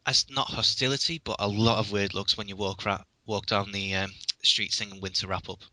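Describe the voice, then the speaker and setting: A man's voice: fairly low pitch, steady tone, fairly quick, sharp tone, dry recording, rough recording. A man from England with a Liverpool accent, chatting casually as a guest and often restarting his sentences with dry humor. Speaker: podcast guest. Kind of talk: casual chat.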